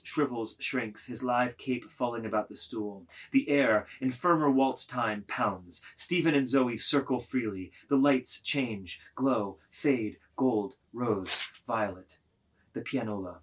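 A man narrating a literary work dramatically, deliberate and declamatory, phrase by phrase. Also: medium pitch, breathy edge.

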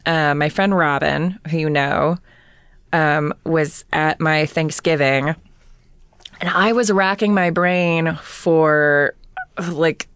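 A woman in her thirties co-hosting a casual chat, talking in quick bursts with frequent restarts and laughter. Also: low voice, steady tone, fairly bright tone, clear, resonant tone, no room sound. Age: 30s. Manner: chatty, laughs often, many restarts. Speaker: podcast co-host.

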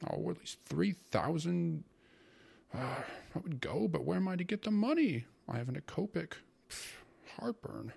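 High-pitched, peevish, pompous old-world gentleman. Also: lively intonation, moderate pace, gravelly, slightly rough, exaggerated voice. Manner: grumbling, complaining, dramatically emphatic. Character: peevish, self-pitying, pompous uncle, a hypochondriac government official.

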